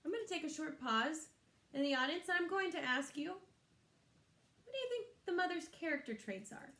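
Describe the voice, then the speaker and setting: A woman's voice: sits high, very animated, normal speed, sharp tone. A woman reading a children's story aloud expressively, like a teacher, in an echoey room.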